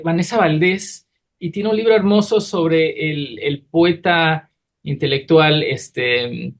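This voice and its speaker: A man speaking as a university lecturer in an academic discussion, thoughtful and explanatory. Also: sits high. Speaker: university lecturer, panel guest.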